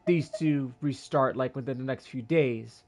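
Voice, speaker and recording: A man chatting casually as a game livestreamer, rambling in a halting way and repeating himself. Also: medium pitch, darkish tone, soft background music.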